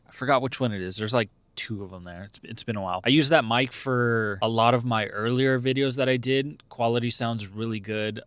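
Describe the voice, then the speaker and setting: A man's voice: mid-range voice, a bit bright, no echo. A young man talking casually to camera as a vlogger, rambling with lots of fillers and restarts.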